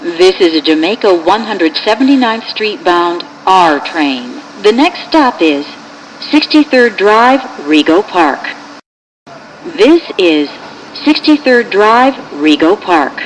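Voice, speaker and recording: An American woman giving formal recorded subway announcements in measured, pieced-together phrases. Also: medium pitch, sing-song, poor audio. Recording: clear vehicle and air-conditioning noise.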